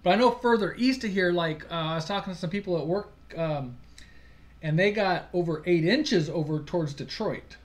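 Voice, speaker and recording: A man's voice: high-pitched, moderate pace. A man from Michigan co-hosting a casual live stream, chatty, laughing easily and losing his place now and then. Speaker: livestream co-host.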